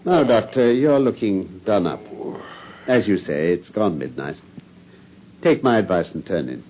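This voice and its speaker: An actor playing a self-assured English detective in a radio drama, telling things with brisk, wry amusement. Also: male voice, sits low, expressive, a little hiss or hum. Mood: musing. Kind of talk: British radio drama acting. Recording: dull, muffled radio drama recording.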